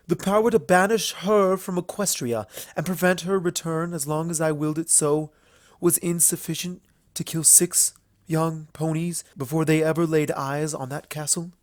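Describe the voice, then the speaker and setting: A man voicing a solemn, guilt-burdened pony princess in a storybook reading, speaking formally and gravely in measured phrases. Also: on the high side, steady tone, no echo, voice pushed up, close to an everyday voice. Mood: grave, intense. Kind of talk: fan fiction storybook reading.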